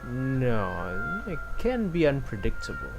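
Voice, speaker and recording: A man's voice: mid-range voice, huge pitch swings, takes it slowly, rough recording. A man livestreaming a game, reading dialogue aloud with some drama and stumbles, and reacting casually. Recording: game music behind the voice.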